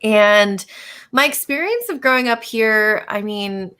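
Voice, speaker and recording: A woman's voice: mid-range voice, expressive, a little slow, bright, forceful tone, clear, resonant tone. A young adult woman from Los Angeles, speaking casually as a guest on a panel and filling her talk with "you know" and "like". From Los Angeles, United States. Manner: chatty, many fillers like "you know" and "like". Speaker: panel guest, business owner.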